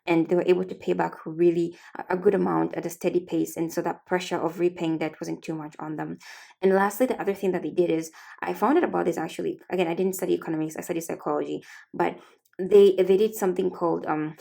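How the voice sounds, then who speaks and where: A woman's voice: fairly low pitch, fairly even intonation, quick pace, gravelly, warm tone. A young woman with an East African accent, hosting a casual talk show and explaining a topic in a chatty way, often correcting herself and laughing at her own slips. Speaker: host.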